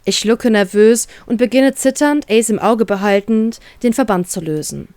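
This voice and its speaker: A woman's voice: on the high side, moderate pace, a little mellow, dry recording. A woman reading a scripted story aloud as its narrator, in a dramatic storytelling style. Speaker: narrator of fan fiction audio story.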